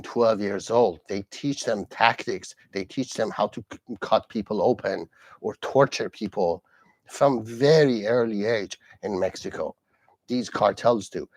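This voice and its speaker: An earnest man from Iran hosts a solo live commentary, his pitch sitting in an ordinary middle range. He restarts sentences and circles back to repeat key phrases. The sound is dry and close, with no room around it. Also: no echo. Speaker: host.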